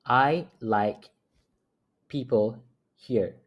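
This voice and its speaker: A man teaching phrases at home, repeating each one slowly and clearly for learners in a patient lesson style. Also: medium pitch, muffled recording. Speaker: language teacher. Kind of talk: slow, repetitive phrase-by-phrase teaching. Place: home apartment.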